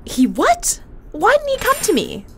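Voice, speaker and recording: A woman's voice: extremely high pitch, huge pitch swings, a little slow, a bit bright, slight background noise, full character voice. A woman acting in an audio drama as a brash, irritable, foul-mouthed ship medic with a blunt, sarcastic, defensive manner. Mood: angry, exasperated.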